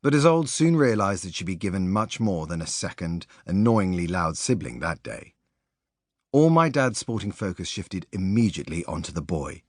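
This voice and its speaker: A man reading a sports biography as an audiobook narrator, in an expressive, measured storytelling manner. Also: low-pitched, no echo, clean studio sound.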